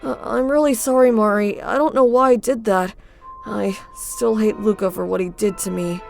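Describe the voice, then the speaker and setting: A woman's voice: medium pitch, steady tone, moderate pace, gravelly, darkish tone, faint music behind, close to an everyday voice. A woman voicing a guilty, self-loathing teenage boy who stammers anxiously. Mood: flustered.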